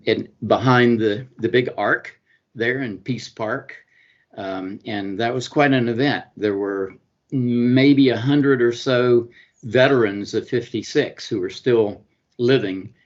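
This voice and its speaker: A middle-aged man with a Southern Georgia accent gives interview answers in a low voice. He hesitates often, restarting phrases and filling gaps with ums and uhs. The recording sounds dull and lo-fi. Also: slow pace. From US state of Georgia. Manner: hesitant, many fillers and restarts. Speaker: consul and business attorney, guest.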